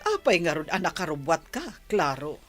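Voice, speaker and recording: A woman playing a sharp-tongued old grandmother in a radio comedy drama, chiding and matter-of-fact. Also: low-pitched, sing-song, normal speed, faint music behind.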